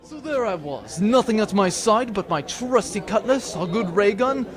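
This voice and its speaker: A man's voice: high-pitched, big pitch swings, normal speed, a crowd in the background. A man playing a boastful, swashbuckling space captain in a comic audio drama, pompous, theatrical and very pleased with himself. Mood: boastful, dramatic. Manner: pompous, theatrical, self-satisfied.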